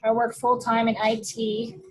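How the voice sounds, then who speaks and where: A woman's voice: a little high, completely flat delivery, moderate pace, a little hiss or hum, rough recording. A woman in her thirties from Texas, introducing herself in a friendly, matter-of-fact way. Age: 30s. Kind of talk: self-introduction.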